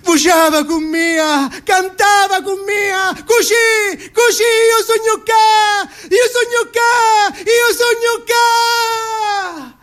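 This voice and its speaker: An actor playing a simple, tender, excitable boy in a theatrical radio monologue, with a Sicilian accent, moving between hushed recollection and shrill outbursts. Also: drawn-out words, slight background noise, heavily acted. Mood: elated.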